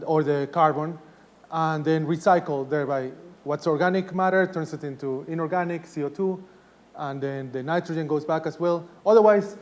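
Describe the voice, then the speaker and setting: A man in his forties from Ecuador giving a university lecture, speaking softly because of a sore throat, halting with many restarts and fillers. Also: fairly high pitch.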